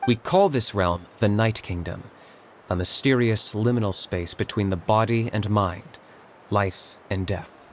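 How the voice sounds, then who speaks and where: Man as a low-voiced, earnest young warrior. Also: a little hiss or hum, natural voice. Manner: measured, matter-of-fact. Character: calm, serious young male warrior, earnest and reserved. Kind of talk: video game voice acting. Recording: music behind the voice.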